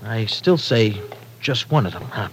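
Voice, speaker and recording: Casting: young American streetwise lug, smitten and halting, slangy, vintage radio drama with light noise. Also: male voice, on the low side, lively intonation. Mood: shy, smitten. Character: lovestruck young tough guy, rough, bashful, slangy. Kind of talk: old-time American radio drama acting. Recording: noisy vintage radio recording.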